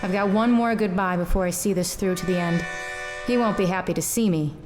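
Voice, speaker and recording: A woman's voice: lowish voice, brisk, music in the background, close to an everyday voice. Woman playing a world-weary hard-boiled female detective. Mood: grim, resigned. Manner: terse, wry, deadpan noir narration and dialogue. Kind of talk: noir audio drama acting.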